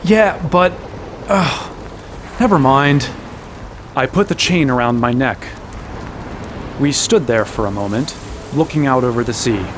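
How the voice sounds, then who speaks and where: A man's voice: medium pitch, lively intonation, measured pace, long pauses, background noise, lightly acted. An actor playing an exasperated, sarcastic young hero who narrates his own quest in a fantasy audio drama. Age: young adult. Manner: wry, exasperated. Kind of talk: first-person audio drama narration and dialogue.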